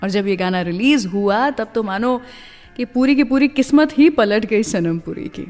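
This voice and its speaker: A woman's voice: on the high side, animated, normal speed, darkish tone, in a large hall. A woman hosting a radio show, presenting and telling stories in a narrated style. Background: music.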